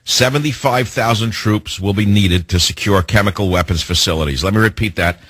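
A man hosting a talk radio show, delivering a sarcastic, indignant monologue with theatrical swings in pitch. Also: fairly quick, a bit bright, slightly rough, no room sound.

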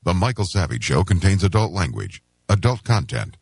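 A man's voice: low-pitched, very animated, normal speed, vocal fry, breathy edge, dry recording, clean studio sound. A man reading a scripted radio disclaimer as an announcer. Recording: loud music bed over the voice. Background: loud music.

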